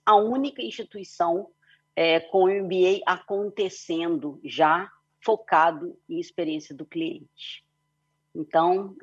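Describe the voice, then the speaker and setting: A woman's voice: medium pitch, darkish tone, slightly rough. Middle-aged Mineiro woman on a muffled call. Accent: Mineiro Brazilian Portuguese. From Belo Horizonte, Brazil. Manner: long flowing telling with frequent "né" and "é" fillers. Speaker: guest speaker, company CEO. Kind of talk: online panel storytelling.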